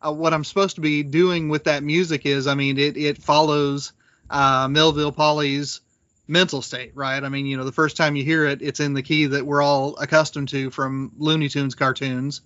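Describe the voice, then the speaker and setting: Bright-voiced man in casual podcast discussion. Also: a little high, little pitch movement, normal speed, dry recording, dull audio. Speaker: podcast panelist. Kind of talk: casual discussion of old radio drama.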